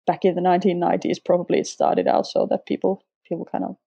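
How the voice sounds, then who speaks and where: Husky, creaky Finnish woman, mellow. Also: fairly low pitch, quick pace, lo-fi sound. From Finland. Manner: relaxed, chatty, laughs often, frequent restarts. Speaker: guest, sketchnoter and former language teacher. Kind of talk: casual interview conversation.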